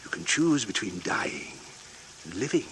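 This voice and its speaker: Coldly menacing radio villain, noisy recording. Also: male voice, on the low side, big pitch swings, fairly bright tone. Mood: quiet menace. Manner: measured, commanding, menacing. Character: cold, resourceful murderous father coolly blackmailing at gunpoint. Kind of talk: 1940s radio acting.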